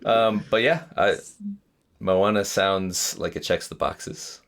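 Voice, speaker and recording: A man's voice: fairly low pitch, steady tone, slow pace, gravelly, a bit bright. A man playing trivia, joking dryly and hesitating with fillers in a casual chat. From Singapore. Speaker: trivia contestant.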